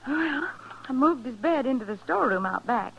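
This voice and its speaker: High-voiced frontier storekeeper's wife. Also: female voice, animated, moderate pace, creaky, slightly rough, heavily acted. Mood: worried. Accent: American. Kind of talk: old-time American western radio acting. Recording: dull vintage radio recording.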